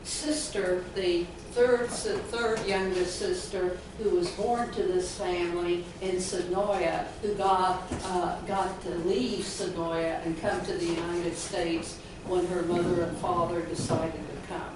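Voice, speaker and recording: An older woman giving an informal talk as a guest author, halting and correcting herself as she goes. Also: fairly low pitch, steady tone. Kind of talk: informal talk about own novel. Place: indoor event room at an arts center. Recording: echoey, noisy room, distant microphone.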